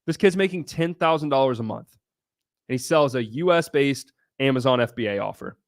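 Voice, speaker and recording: A man's voice: mid-range voice, lively intonation, a little fast, dry recording, studio quality. A young man from Southern Ohio hosting a casual livestream, answering viewers' questions in a brash, blunt way and swearing freely. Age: young adult. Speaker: livestream host.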